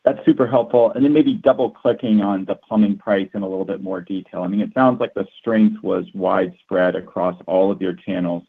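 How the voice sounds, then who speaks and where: A man's voice: sits low, brisk, creaky, hardly any pauses, husky. A man speaking as a financial analyst, asking questions politely and conversationally on an earnings call. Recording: tinny, narrow phone line.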